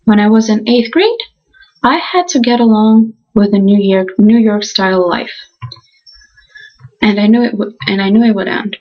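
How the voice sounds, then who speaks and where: Earnest young woman, halting restarts. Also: medium pitch, dull audio. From Ukraine. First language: Russian. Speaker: college student. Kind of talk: reading a class presentation.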